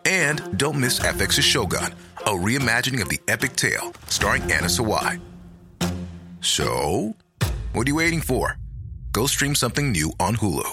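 A man's voice: mid-range voice, sing-song, moderate pace, pushed and bright. Upbeat male ad announcer over music. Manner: upbeat, salesy. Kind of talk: scripted commercial read.

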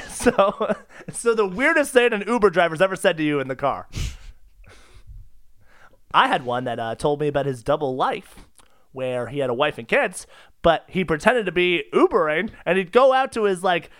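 Animated male podcast co-host, dry sound. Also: sits high, moderate pace, no echo. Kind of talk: casual chat.

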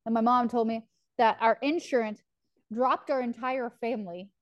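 A woman's voice: a little high, fairly even intonation, moderate pace, no echo, lo-fi sound. Chatty young woman telling a story. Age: 20s. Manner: rambling storytelling with filler "like" and swearing. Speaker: podcast co-host. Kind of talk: casual chat.

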